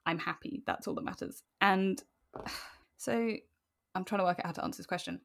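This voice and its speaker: A woman's voice: medium pitch, brisk, vocal fry, a bit bright. A woman speaking candidly and informally as an interview guest, animatedly telling personal stories. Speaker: podcast guest.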